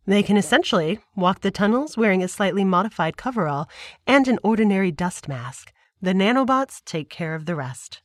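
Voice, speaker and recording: Audio drama: defiant woman moon reporter played by an actress, mid-pitched, polished and insistent, very dry recording. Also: expressive, normal speed, dry recording, natural voice. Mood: confident, informative. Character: determined, defiant woman moon correspondent on a broadcast segment. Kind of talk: audio drama, scripted broadcast presenting.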